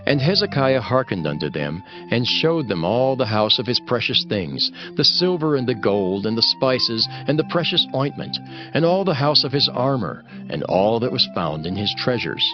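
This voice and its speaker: A man's voice: medium pitch, sing-song, normal speed. A man narrating scripture from a script in a solemn, dramatic storytelling style. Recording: dull sound under loud music. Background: music.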